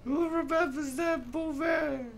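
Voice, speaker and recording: A man's voice: very high-pitched, fairly even intonation, a little slow, creaky, slight background noise. A man co-hosting a livestream as a game streamer, chatting casually.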